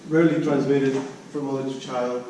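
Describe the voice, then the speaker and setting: A man presenting research at an academic conference, speaking haltingly and often repeating and restarting his phrases. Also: mid-range voice, flat delivery. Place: conference room. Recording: echoey room, distant mic, background noise.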